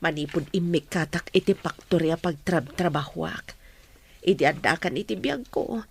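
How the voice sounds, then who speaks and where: Husky, affectionate mother. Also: on the low side, moderate pace. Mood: affectionate, wistful. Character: affectionate mother, a former factory worker. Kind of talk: radio drama acting in Ilocano.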